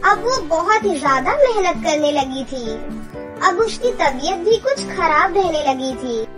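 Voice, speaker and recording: A woman's voice: very high voice, sing-song, a little slow. A woman reading scripted narration for a children's story. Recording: clear music behind the voice. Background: children's music.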